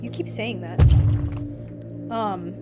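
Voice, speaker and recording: A woman's voice: mid-range voice, slow pace. A woman live streamer giving casual commentary on a game and reading its text aloud. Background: game music.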